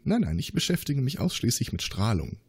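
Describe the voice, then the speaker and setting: A man's voice: mid-range voice, wildly swooping pitch, brisk, a little mellow, slightly rough, heavily acted. A man voicing a paranoid male scientist in a tabletop game, nervous and contradicting himself. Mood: evasive. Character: paranoid male scientist, conspiracy-minded, evasive. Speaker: player voicing a suspect.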